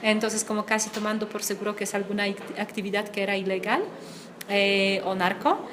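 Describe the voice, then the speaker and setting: A woman's voice: medium pitch, steady tone, fairly quick. Chatty young woman from Poland. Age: young adult. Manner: chatty, many fillers. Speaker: interviewee. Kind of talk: student video interview. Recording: audible background noise.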